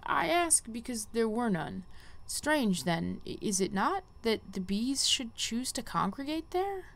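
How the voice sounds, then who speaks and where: A woman voicing a solemn, wise guildmaster in a fantasy game, reading in a formal, measured, old-fashioned way. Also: fairly high pitch, very animated, vocal fry, fairly bright tone, heavily acted. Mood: solemn, questioning. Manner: formal, measured, archaic phrasing. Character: solemn, wise conjurer guildmaster giving a quest. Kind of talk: reading game quest dialogue aloud.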